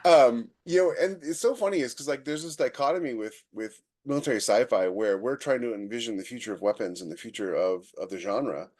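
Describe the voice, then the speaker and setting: A man's voice: mid-range voice, a little fast, hardly any pauses, darkish tone. An American man hosting a podcast in casual chat, opinionated and given to illustrating his points with anecdotes. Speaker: podcast host.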